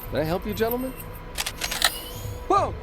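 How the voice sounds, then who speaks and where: A bewildered male cook stammers and pleads in a lively, excitable voice. He is an ordinary, frightened man in an audio drama, with loud music underneath. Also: high voice, moderate pace. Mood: panicked.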